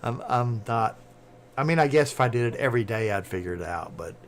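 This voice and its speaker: A man with a Southern American accent chatting casually on a live stream, talking fast and rambling. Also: medium pitch, lively intonation. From southern United States. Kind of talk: casual chat.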